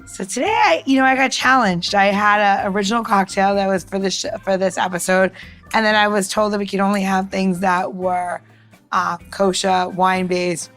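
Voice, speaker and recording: A woman's voice: medium pitch, steady tone, normal speed, a bit bright, faint music behind. A woman casually talking through a cocktail recipe as co-host, chatty and rambling with playful asides. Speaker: co-host and bartender.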